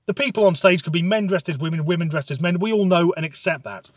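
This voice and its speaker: A man delivering a sardonic, sweary comic rant in a deadpan tone. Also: high-pitched, quick pace, no room sound. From United Kingdom. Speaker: comedic ranter.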